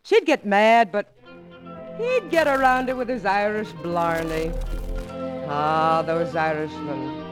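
A chatty wife with a lilting voice. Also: female voice, mid-range voice, animated, slow, poor audio. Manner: chatty, sings a lilting novelty song. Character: chatty young wife who breaks into song. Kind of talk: 1940s radio comedy with song. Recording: old radio recording with music.

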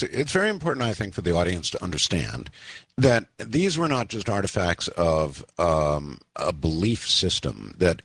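Low-voiced male radio host. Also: breathy edge, no room sound. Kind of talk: live radio call-in talk.

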